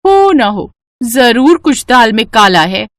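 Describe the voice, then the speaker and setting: A woman's voice: on the high side, sing-song, takes it slowly, no echo, heavily acted. A woman voicing a scheming, greedy young aunt by marriage in a cartoon story, talking slyly and faking innocence. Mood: suspicious. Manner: suspicious, sly, feigns innocence. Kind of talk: cartoon voice acting.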